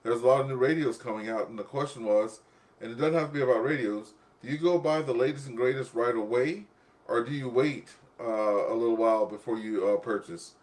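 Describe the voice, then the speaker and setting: Man, medium pitch, radio net host, casual ham chat, rambling, talkative, repeats himself. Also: brisk, darkish tone.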